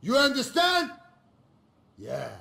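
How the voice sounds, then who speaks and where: A man's voice: very high voice, wildly swooping pitch, takes it slowly, vocal fry, bright, forceful tone, full character voice. An actor playing a stern, terse foreign male bank robber with clipped phrasing in a video game. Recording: echoing room sound.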